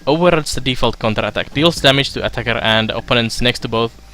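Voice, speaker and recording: A young man from Finland giving casual let's play commentary, halting with fillers as he reads game text aloud. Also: mid-range voice, bright, forceful tone, soft background music. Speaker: streamer.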